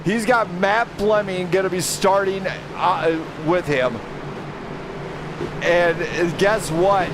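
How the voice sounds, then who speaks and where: A man calls a sim race live in a high voice, getting excitable and rattling off drivers as the field battles. He keeps an unhurried pace between bursts. Racing engine noise from the game roars clearly behind him. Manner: excitable, shouting driver names. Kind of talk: live play-by-play race commentary. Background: car engine roar from the game.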